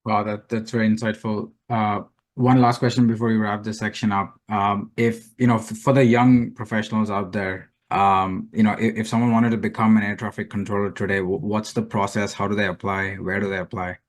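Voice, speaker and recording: Male podcast interviewer, low register, even intonation, conversational questioning. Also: a little fast.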